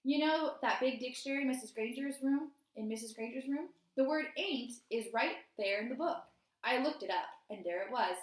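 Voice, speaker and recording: A woman's voice: high voice, normal speed, pitched above the performer's own voice, lightly acted. A woman voicing an earnest, defensive schoolboy in a storybook, pleading his case insistently. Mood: matter-of-fact insistence. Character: earnest, defensive fifth-grade boy in a storybook. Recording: roomy, echoey indoor sound.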